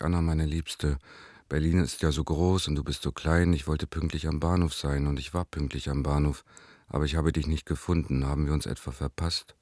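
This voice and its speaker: Leon, a very deep-voiced yearning lover. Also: male voice, a little mellow, dry recording, clean studio sound. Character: Leon, yearning, needy male lover writing a note. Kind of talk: radio drama letter reading.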